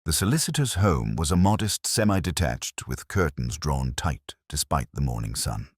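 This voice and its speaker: Male narrator, very low voice, animated pitch, dry close sound, scripted detective story reading. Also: moderate pace, slightly rough, no room sound. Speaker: narrator and reader.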